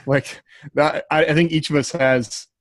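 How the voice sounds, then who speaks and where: A man hosting a podcast in a loose, informal, halting way, with self-aware asides. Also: a little high, steady tone, no echo. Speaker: podcast host. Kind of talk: casual, loosely scripted podcast chat.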